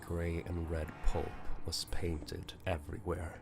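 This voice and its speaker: A man giving grave, deliberate scripted narration of a dramatic visual novel. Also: sits low, monotone, vocal fry, over music.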